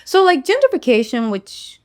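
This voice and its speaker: A woman's voice: sits high, very animated, normal speed. A woman in her forties, a lawyer chatting candidly and playfully as a guest, cursing now and then. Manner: playful, candid, swears casually. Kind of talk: casual interview chat.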